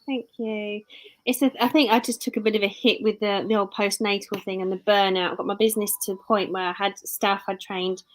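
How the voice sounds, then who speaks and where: Brief: British woman in her thirties, casual live-stream host, chatty and rambling, mid-pitched. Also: flat delivery, fairly quick, low-quality recording. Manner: rambling, self-correcting.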